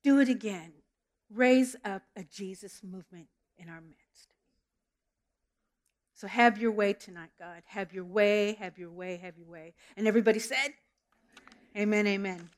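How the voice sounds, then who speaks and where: A woman's voice: medium pitch, measured pace, pauses often. A middle-aged woman from Southern California preaching a sermon and praying in a church, earnest and storytelling, with small restarts. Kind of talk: sermon with prayer. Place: church sanctuary.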